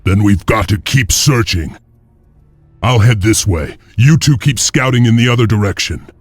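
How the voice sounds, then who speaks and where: A heroic clan chieftain in a video game. His very low, husky voice is terse, stern and commanding, with faint music underneath. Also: expressive, moderate pace, no room sound. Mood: determined.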